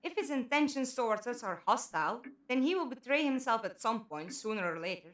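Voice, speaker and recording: A woman playing a composed, cautious leader of captives, measured and authoritative, in an audio drama. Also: a little high, expressive, a bit bright. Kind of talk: fan audio drama acting.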